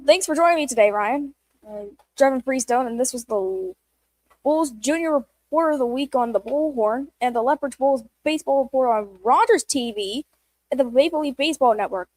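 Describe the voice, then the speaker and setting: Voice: high-pitched, normal speed, no room sound, rough recording. A junior reporter reading out numbered interview questions.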